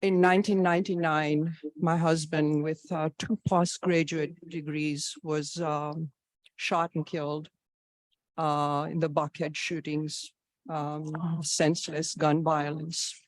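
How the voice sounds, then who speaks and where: A woman in her sixties from Tanzania, a physician making an earnest, halting personal statement and question at a public forum. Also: deep voice, little pitch movement, no room sound. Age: 60s.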